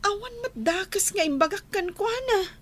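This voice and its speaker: A woman's voice: very high-pitched, animated, normal speed, fairly bright tone. An actress playing a firm young college woman in a radio drama, speaking with a Filipino accent. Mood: defensive. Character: Emma, firm young college woman refusing an old love. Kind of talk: Ilocano radio drama acting.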